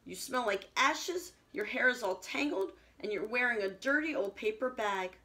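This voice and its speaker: Woman putting on a snooty, high-voiced storybook prince. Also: lively intonation, moderate pace, bright, forceful tone. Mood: disgusted. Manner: haughty, disapproving. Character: snobbish, fussy young storybook prince.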